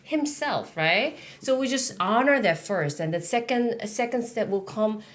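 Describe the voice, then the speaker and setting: A woman's voice: on the high side, normal speed. Korean-accented woman hosting a faith talk show. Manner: animated, gesturing, many affirming interjections. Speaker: host. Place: studio set.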